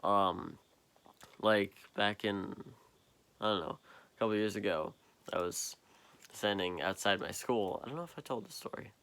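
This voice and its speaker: A tired young man with a deep voice, rambling slowly in a late-night vlog, halting and full of fillers, recorded on a propped-up phone in a quiet basement. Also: vocal fry. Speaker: vlogger. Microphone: phone propped up nearby.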